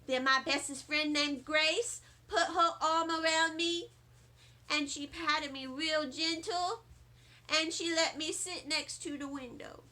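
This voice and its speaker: High, bright woman reading a storybook. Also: fairly even intonation, slow. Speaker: storybook reader, teacher. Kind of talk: expressive children's read-aloud narration.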